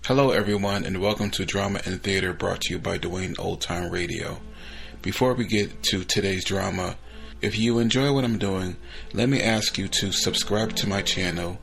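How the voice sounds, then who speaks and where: Deep-voiced man, gravelly podcast host. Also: moderate pace, fairly bright tone, faint music behind. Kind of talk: casual spoken introduction.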